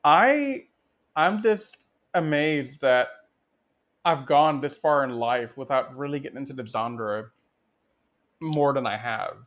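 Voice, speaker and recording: A man's voice: medium pitch, animated, takes it slowly, long pauses. A man co-hosting a casual film chat, speaking in a reflective, appreciative and conversational way. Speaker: podcast co-host. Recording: dull, lo-fi microphone sound.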